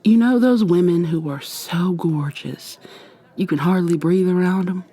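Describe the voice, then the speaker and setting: A woman with an American accent playing a playful, flirty modern witch in an intimate ASMR roleplay, speaking softly and teasingly to the listener. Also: fairly low pitch. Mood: smitten, playful. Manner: teasing, affectionate, conversational with trailing pauses. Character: playful, flirty modern witch mentoring a new coven member.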